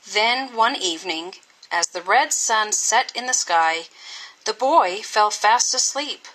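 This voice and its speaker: A woman reading a children's story aloud in an expressive, scripted style with dramatic, deliberate phrasing. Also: medium pitch, low-quality recording. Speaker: storybook reader.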